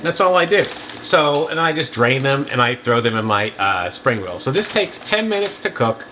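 A middle-aged man co-hosting a cooking show, casually walking through his meal prep in a home kitchen with many fillers. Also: slight background noise. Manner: easygoing, lots of fillers, pitch jumps up when excited. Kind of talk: casual cooking demo chat.

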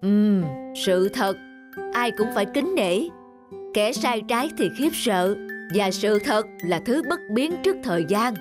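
A woman voicing an imperious but fair middle-aged king in an animated folktale, commanding and deliberate in a regal manner. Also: medium pitch, close to an everyday voice. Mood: pleased. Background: background music.

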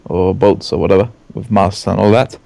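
Gravelly Gibraltarian man, dry sound. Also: low voice, fairly even intonation, normal speed, dark tone, no echo. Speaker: gaming video co-host. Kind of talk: casual gaming chat.

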